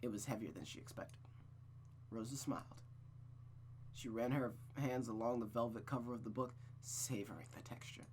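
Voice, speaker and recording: A man's voice: medium pitch, normal speed, a little hiss or hum. A man reading a visual novel aloud as a streamer, telling the story dramatically and breaking into casual, sweary asides. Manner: expressive, reactive, sweary, stumbles and rereads lines.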